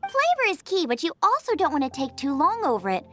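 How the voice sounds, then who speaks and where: A woman's voice: extremely high pitch, huge pitch swings, a little fast, bright, forceful tone, soft background music, full character voice. A woman voicing an eager, confident young female chef in a video game, with a perky, enthusiastic manner. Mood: encouraging. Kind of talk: video game character voice acting.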